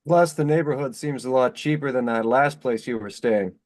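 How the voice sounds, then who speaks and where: An actor playing a blunt, well-meaning young husband in a virtual staged reading of a play. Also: male voice, medium pitch, moderate pace, darkish tone, lightly acted. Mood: blunt, upbeat.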